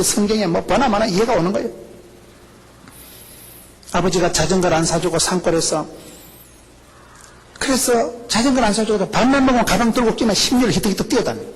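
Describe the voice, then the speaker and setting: A middle-aged male pastor with a Gyeongsang accent, preaching a lively, joking sermon full of rhetorical questions. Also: high-pitched, fairly quick, long pauses, low-quality recording. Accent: Gyeongsang Korean. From rural South Korea. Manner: animated, jokey preaching with rhetorical questions and laughs.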